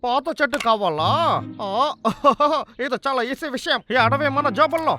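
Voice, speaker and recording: A man voicing a scheming, excitable cartoon logger who grumbles and boasts to himself in an exaggerated way. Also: extremely high pitch, unhurried, full character voice. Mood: gloating, eager. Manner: exaggerated, talks to himself, chuckling. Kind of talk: cartoon dub acting.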